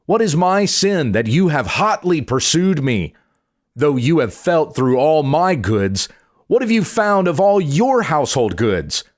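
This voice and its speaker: A man's voice: on the high side, very animated, measured pace. A man reading scripture aloud in the part of an aggrieved, indignant herdsman patriarch, with emphatic, declamatory delivery. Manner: declamatory, emphatic, rhetorical questioning. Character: aggrieved, indignant male patriarch, a herdsman protesting twenty years' service.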